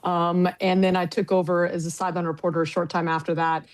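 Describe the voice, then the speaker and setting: A woman's voice: on the low side, flat delivery, fast talker. A middle-aged American woman, a sports broadcaster, talking casually and with self-deprecating humor in an interview. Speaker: sports broadcaster, radio play-by-play announcer.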